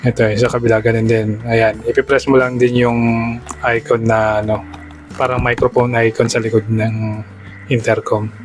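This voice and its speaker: A man's voice: mid-range voice, level tone, moderate pace, faint music behind. A man with a Filipino accent narrating a step-by-step product tutorial for a vlog in a plain, conversational, instructional way. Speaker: motorcycle vlogger giving a product tutorial.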